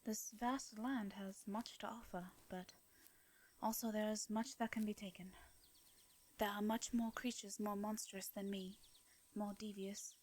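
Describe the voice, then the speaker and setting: A woman with an English accent playing a gentle, courteous vampire in an intimate fantasy audio drama, speaking softly and breathily in formal, hesitant phrases. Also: medium pitch, fairly even intonation, close to an everyday voice. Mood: gently curious. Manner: soft breathy delivery, formal old-fashioned diction, hesitant pauses. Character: gentle, courteous female vampire, centuries-worn, wistful yet devious.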